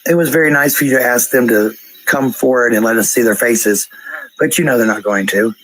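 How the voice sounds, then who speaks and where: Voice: medium pitch, a little fast, a bit bright, no echo, low-quality recording. A person ranting casually in a blunt, coarse, profane way, heard on a played-back voice clip.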